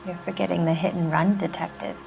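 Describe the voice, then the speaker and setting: An actress playing a defiant, unsentimental 1940s widow in a crime game, answering in curt, sardonic retorts. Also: female voice, on the low side, little pitch movement, a little fast, creaky, over music, rough recording. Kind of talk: naturalistic period crime drama acting.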